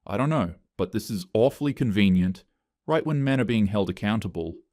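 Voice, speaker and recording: Furious defiant HR woman, a man reading her lines, low and dry. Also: animated, fairly quick, darkish tone, dry recording, close to an everyday voice. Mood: angry.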